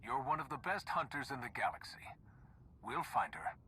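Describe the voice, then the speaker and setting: An actor playing a compassionate, calm male Jedi in a video game, earnest and measured, with a breathy, husky edge. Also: mid-range voice, slight background noise, low-quality recording. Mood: composed. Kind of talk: video game cutscene acting.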